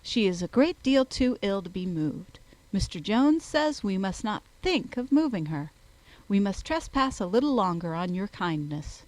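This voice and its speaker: A woman's voice: mid-range voice, sing-song, normal speed, darkish tone, no echo, natural voice, poor audio. A woman voicing a fussy, effusive, self-satisfied middle-aged mother in a period novel audiobook. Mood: fretful, insistent. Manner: effusive, emphatic.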